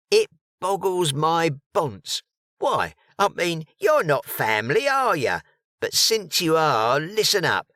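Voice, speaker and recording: A man voicing a brash, aggressive woman with an East London accent, loud and pushy. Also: on the high side, no room sound, studio quality, pitched above the performer's own voice, full character voice.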